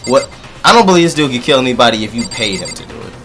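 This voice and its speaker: A man with a deep voice doing animated, joking let's play commentary and talking straight to his audience. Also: rapid-fire, soft background music. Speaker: gaming YouTuber.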